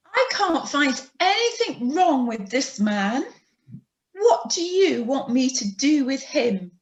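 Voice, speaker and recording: Questioning Roman governor, put on by a woman, lively pitch, echoey and muffled. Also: takes it slowly, full character voice. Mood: insistent. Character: puzzled male Roman governor, questioning and insistent.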